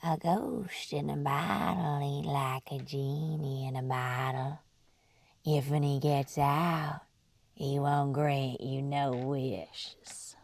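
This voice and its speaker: Old hag ghost catcher, very slow, gravelly, low, breathy near-whisper, menacing, folksy, dry close sound. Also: female voice, fairly even intonation, darkish tone, dry recording, exaggerated voice. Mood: menacing warning. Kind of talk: audio drama character acting.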